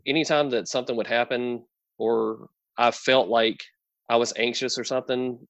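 A man talks as a guest on a video call, friendly and relaxed, with many fillers. The sound is dry and a little dull. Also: mid-range voice, moderate pace, no echo. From North Carolina. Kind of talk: casual interview conversation.

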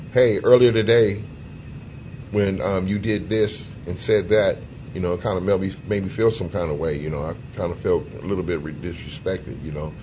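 Dude cohost with a lowish voice, loose and cussing, lots of you knows, faint hiss. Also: male voice, a little mellow. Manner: loose and profane, with many "you know" fillers and sudden pitch jumps. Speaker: co-host. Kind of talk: casual podcast discussion.

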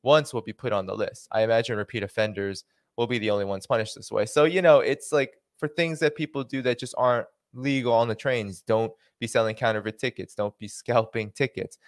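A man's voice: mid-range voice, fairly even intonation, brisk, no room sound. A man with a Boston accent hosting a livestream, reading a policy document aloud and breaking off into dry sarcastic asides. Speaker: host.

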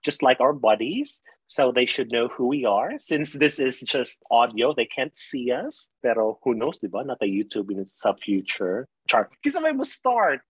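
A man in his thirties with a Filipino accent co-hosts a podcast from a remote video call. His voice is a little husky and lively in pitch, and he teases and laughs easily. The sound is dry, with no room around it. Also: moderate pace, hardly any pauses, no echo, rough recording. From Philippines. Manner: playful, laughs often, teasing. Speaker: podcast co-host. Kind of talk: casual chat.

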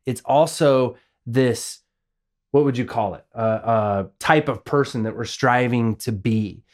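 A man's voice: mid-range voice, unhurried. A man co-hosting a casual podcast, upbeat and enthusiastic as he explains ideas. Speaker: podcast co-host, audio and video producer.

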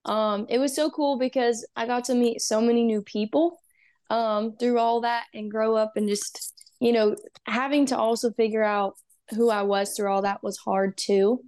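A young woman from Texas speaks as a musician guest, earnest and upbeat. She fills her sentences with "um" and "you know", and her voice sits fairly high. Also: fairly even intonation, moderate pace, darkish tone. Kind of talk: casual interview.

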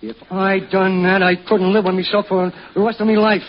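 An actor playing a needling, relentless accuser in an old-time radio mystery, husky and insinuating. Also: male voice, high voice, flat delivery, normal speed, lightly acted. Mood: relentless, accusing. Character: accusing, needling male tormentor of a guilty man. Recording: muffled old radio recording.

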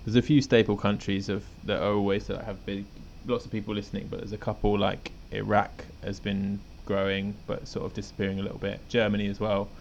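A man co-hosts a podcast in loose, rambling chat, chuckling as he goes. His voice sits low with a gravelly edge. The recording sounds a little dull, with a faint hiss underneath. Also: monotone, brisk, few pauses, darkish tone. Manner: laid-back, rambling, chuckles often. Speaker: podcast co-host. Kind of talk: casual chat.

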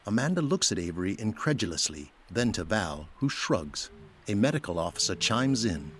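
Low-voiced male drama narrator. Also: very animated, normal speed, fairly bright tone. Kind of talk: scripted audio drama narration.

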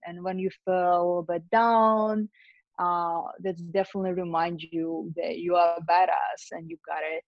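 A woman answers an interviewer's questions, pausing often on "um" and "uh" as she thinks. Her voice sounds dry and slightly muffled, with no room around it. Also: medium pitch, dry recording. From Lithuania, raised in Russia. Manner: thoughtful, with frequent fillers. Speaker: guest DJ.

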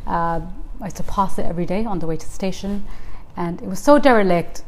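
A woman in her thirties from Tanzania, a property developer talking in a relaxed, reflective way with frequent ums, recorded inside a luxury house. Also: lowish voice, quick pace, low-quality recording. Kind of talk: casual interview chat.

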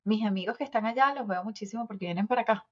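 A Venezuelan woman in her forties co-hosting a podcast, chatting casually and warmly with fillers and restarts. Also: medium pitch, rapid-fire, husky, dull audio. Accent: Venezuelan Spanish. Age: 40s. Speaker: podcast co-host. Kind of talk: casual chat.

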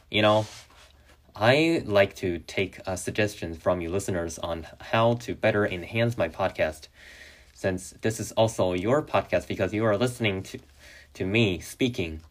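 Young male podcast host, Chinese native speaker, very deep voice, halting delivery with frequent restarts. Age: young adult. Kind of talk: solo podcast monologue.

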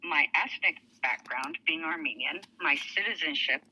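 A woman's voice: low-pitched, animated, normal speed, pushed and bright, husky, poor audio. A woman in her forties from Lebanon and Saudi Arabia, a podcast guest chatting casually about her life with fillers. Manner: chatty, with fillers and light laughs. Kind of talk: casual interview storytelling.

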